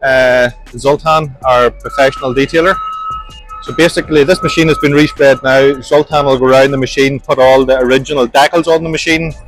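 A man's voice: medium pitch, steady tone, fairly quick, rough recording. Middle-aged man, practical walk-through guide, plain explaining manner, faint music behind. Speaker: workshop manager giving a tour. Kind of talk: walking tour explanation. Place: large industrial workshop indoors.

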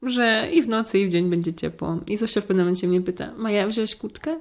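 A woman's voice: medium pitch, quick pace. A woman co-hosting a podcast, talking in a casual, conversational style. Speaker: podcast co-host. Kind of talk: casual chat.

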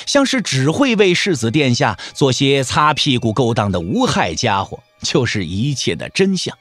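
A man's voice: fairly high pitch, dry recording. A man narrating a martial arts audio drama in a dramatic, emphatic storytelling style.

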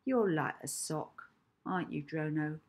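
A woman voicing a spiteful schoolgirl bully who jeers and mocks, louder and higher than her reading voice. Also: expressive, unhurried. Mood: sneering. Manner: loud, jeering, mocking.

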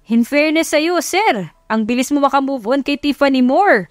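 A woman's voice: high voice, normal speed, no room sound, studio quality, soft background music, pitched above the performer's own voice, natural voice. A woman voicing a cheeky male assistant who banters playfully with his boss in an audio love story. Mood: cheeky, blunt.

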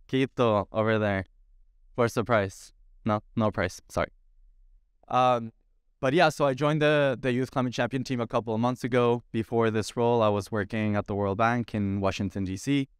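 A man's voice: lowish voice, clear, resonant tone, no echo, studio quality. A man in his thirties from Ecuador, speaking as a panelist on stage with a handheld mic, friendly and joking with the audience. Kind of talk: panel talk. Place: stage in a large event hall.

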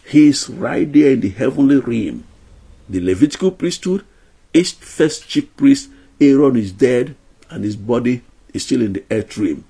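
A man's voice: mid-range voice, vocal fry, mellow, husky. An older man teaching a Bible lesson to camera in a small room at home, earnest and emphatic, counting out his numbered points.

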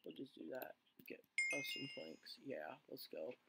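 Hoarse gravelly gaming commentator. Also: male voice, mid-range voice, big pitch swings, measured pace, very noisy. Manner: rambling with many fillers and restarts. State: hoarse, losing his voice. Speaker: gaming channel host. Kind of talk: casual let's play commentary.

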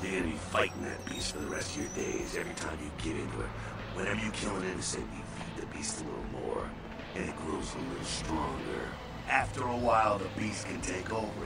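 An actor playing a brash, irreverent older American vampire mentor in a video game, folksy and slangy with mocking asides. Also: male voice, low voice, recorded from a distance. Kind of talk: video game character dialogue.

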